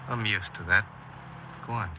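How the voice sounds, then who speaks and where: A man's voice: lowish voice, fairly even intonation, normal speed, close to an everyday voice. An actor playing a cold, embittered young man confessing his crimes in a 1950s radio crime drama, speaking tersely and guardedly. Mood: cold, curt. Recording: noisy, muffled 1950s radio broadcast.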